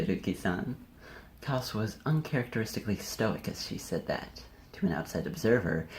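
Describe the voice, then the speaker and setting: A man with a lowish voice reads and comments on amateur stories in a casual style. He drops in playful, chatty asides as he goes. Faint room noise sits behind him. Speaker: co-host and amateur fiction writer. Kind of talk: casual commentary and informal story reading.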